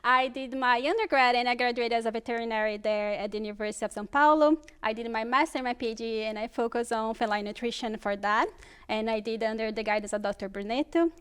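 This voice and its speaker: Brazilian-accented woman, smooth voice. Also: a little high, steady tone, quick pace, a bit bright. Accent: Brazilian Portuguese. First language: Portuguese. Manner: earnest, explains carefully, laughs easily. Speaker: guest researcher in pet nutrition. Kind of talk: studio interview.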